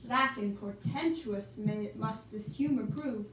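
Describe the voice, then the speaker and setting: A woman's voice: fairly high pitch, slow pace, a bit bright. A woman voicing a worried old noble father, reciting verse in an amateur Shakespeare puppet show. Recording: echoey room, distant mic, background hiss.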